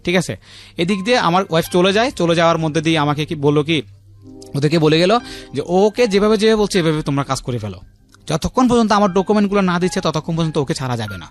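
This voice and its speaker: Bangladeshi man, thirties, fairly high voice, very quick pace, repetitive personal storytelling, radio guest. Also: expressive. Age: 30s. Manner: repetitive, uses frequent check-in tags. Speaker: guest telling his life story. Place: radio studio. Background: faint music.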